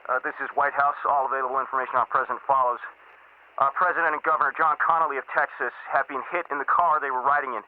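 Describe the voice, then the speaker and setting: Narrow radio band, male government radio operator, fast, businesslike, procedural, audio drama. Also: medium pitch, steady tone. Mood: brisk, official. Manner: rapid, procedural relaying. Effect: radio filter.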